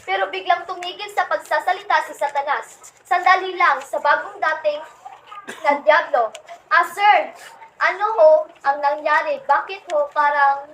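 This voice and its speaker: A woman's voice: high voice, normal speed, a bit bright. A woman playing a dutiful, polite field reporter in a parody radio news play. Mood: polite, reporting. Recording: echoey room, distant mic, faint noise.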